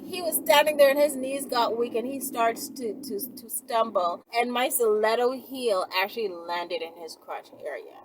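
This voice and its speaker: Woman vlogger, fairly high voice, blunt slangy storyteller with animated delivery, noisy ambient recording. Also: moderate pace, few pauses. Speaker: vlogger and channel host. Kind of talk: casual chatty first-person storytelling.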